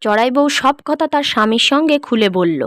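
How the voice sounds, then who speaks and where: A woman narrates a scripted cartoon tale. Her voice is on the high side. The recording is dry, with no audible room. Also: expressive, brisk, fairly bright tone, no room sound.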